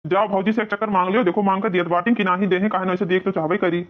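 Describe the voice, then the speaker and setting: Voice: medium pitch, steady tone, very fast, natural voice. A voice actor playing a hard-up, plain-spoken working husband in a cartoon family comedy, matter-of-fact, with an Awadhi accent. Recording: dull, lo-fi muffled sound.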